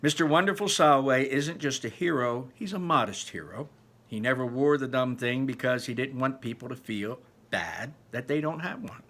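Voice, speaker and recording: A man's voice: mid-range voice, animated, moderate pace, fairly bright tone. A man voicing a resentful, sarcastic middle-school bully who gripes with sneering emphasis, reading a children's novel aloud. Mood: mocking. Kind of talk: first-person children's novel read aloud.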